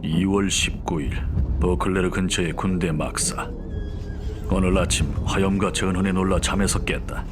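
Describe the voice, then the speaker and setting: Man playing deep-voiced weary soldier diarist. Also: steady tone, background noise, close to an everyday voice. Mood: grave. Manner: grave, measured storytelling. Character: weary, grave French soldier reading his war diary. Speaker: campaign narrator. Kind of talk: scripted diary narration for a game.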